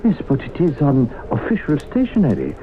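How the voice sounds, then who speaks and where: An actor playing a fussy, proud traveling professor with formal, hesitant, indignant speech in an old radio drama. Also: male voice, a little high, huge pitch swings, normal speed. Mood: flustered, evasive. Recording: tinny, noisy old radio broadcast.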